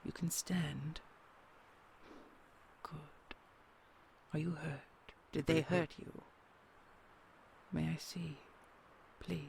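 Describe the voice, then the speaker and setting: A woman's voice: low voice, fairly even intonation, darkish tone, slight background noise, full character voice. A woman voicing a possessive female dragon queen who is fierce to rivals and tender to her treasure, often speaking in a whisper. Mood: soothing. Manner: slow, gravelly, whispered tenderness. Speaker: voice actor. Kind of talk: audio roleplay acting.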